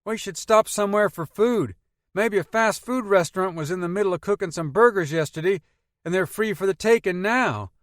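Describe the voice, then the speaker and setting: A man's voice: high voice, normal speed, no echo, clean studio sound, pitched above the performer's own voice, natural voice. A man voicing an easygoing young man with a country accent who talks candidly and cautiously, in an audiobook reading. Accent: American Southern-style country.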